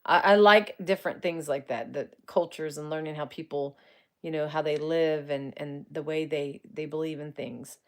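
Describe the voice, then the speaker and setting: A woman's voice: sits low, fairly even intonation, moderate pace. A woman in her forties, a psychic medium, chatting casually with viewers on a livestream. She often laughs, greets people by name and uses colloquial grammar. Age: 40s. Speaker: psychic medium and paranormal live streamer. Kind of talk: casual livestream chat answering viewer comments.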